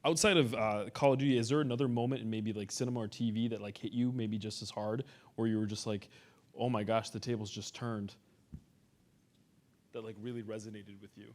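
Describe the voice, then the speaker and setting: A man's voice: fairly low pitch, steady tone, fairly quick. A man moderating a convention panel in chatty, fannish style, peppering questions with "like" and quoted reactions. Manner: fannish, uses "like" often, acts out reactions. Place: convention panel before an audience.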